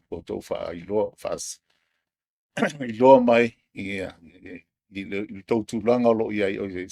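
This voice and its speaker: A man hosting his own show, talking in an unhurried, halting way as he searches for words. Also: fairly low pitch, creaky, a little mellow, dry recording, studio quality. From Samoa. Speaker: podcast host. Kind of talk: casual solo commentary.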